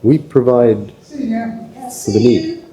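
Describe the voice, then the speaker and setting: A man's voice: mid-range voice, wildly swooping pitch, drawn-out words, dark tone, rough recording. An older man from Zimbabwe, a shop owner talking philosophically in an interview and repeating himself as he thinks. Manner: philosophical, asks rhetorical questions, stammering repeats. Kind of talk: casual interview.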